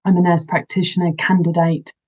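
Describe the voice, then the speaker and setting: A woman, a nurse giving a measured conference talk over a video call. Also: lowish voice, flat delivery, breathy edge, dull audio. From New Zealand. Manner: measured, formal greeting in Māori. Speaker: nurse practitioner candidate. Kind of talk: conference presentation.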